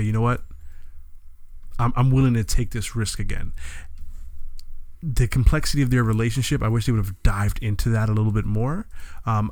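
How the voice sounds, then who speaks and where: A man's voice: lowish voice, no room sound. Man in dry, close-miked banter. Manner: joking, animated banter. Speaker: podcast co-host. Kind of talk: casual film review chat.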